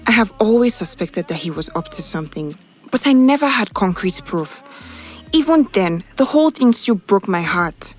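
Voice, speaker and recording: A woman's voice: mid-range voice, lively intonation, moderate pace, close to an everyday voice. An actress playing a troubled young girlfriend in an audio drama, with a conversational, sighing delivery. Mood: hurt, suspicious. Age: young adult. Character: troubled young woman, suspicious and hurt girlfriend. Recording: faint music behind the voice.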